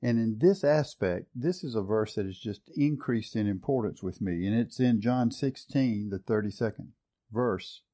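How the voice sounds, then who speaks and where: A man's voice: lowish voice, normal speed, dark tone. A man teaching a Bible study session. He talks in an earnest, reflective way, reads and compares scripture translations, and often repeats words while he finds the phrase.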